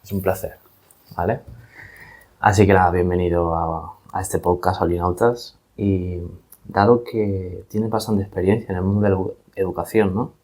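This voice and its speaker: Male podcast host with a deep, low voice, conversational interviewing delivery. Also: steady tone, normal speed, a little mellow. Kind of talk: casual interview chat.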